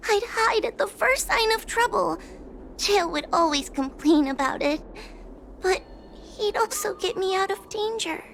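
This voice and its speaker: Very high-pitched timid girlish judge. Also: lively intonation, a little slow, vocal fry, fairly bright tone, slight background noise, exaggerated voice. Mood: wistful. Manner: hesitant, polite, earnest. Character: timid young female ghost-hunting judge, anxious and earnest. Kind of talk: video game voice acting.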